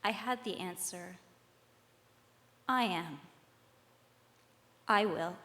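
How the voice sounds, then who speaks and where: A woman reads a scripted spoken-word piece on stage, with deliberate, rhetorical phrasing and short dramatic lines. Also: fairly low pitch, sharp tone. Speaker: reader at a live tribute performance.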